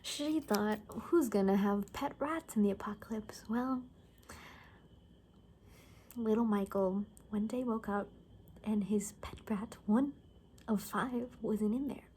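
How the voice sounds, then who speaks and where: Actress as gossipy survivor hairdresser, female, ASMR roleplay. Very soft, warm tone, halting casual delivery, half-whispered, slight noise behind. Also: medium pitch, natural voice. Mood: gossipy, confiding. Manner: hushed, often slipping into whisper, casual and halting. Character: gossipy young woman, zombie apocalypse survivor cutting hair. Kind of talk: soft-spoken ASMR roleplay, improvised chatty storytelling.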